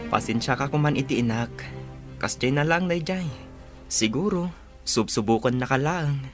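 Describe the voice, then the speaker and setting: A man's voice: mid-range voice, lively intonation, moderate pace, close to an everyday voice. A man acting an earnest young suitor, music behind. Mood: respectful. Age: young adult. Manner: earnest, persuasive. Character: devoted, reassuring young suitor. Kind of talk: audio drama acting.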